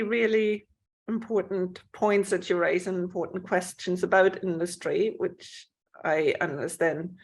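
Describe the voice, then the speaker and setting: A woman moderating an online panel discussion, speaking in long, measured sentences. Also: mid-range voice.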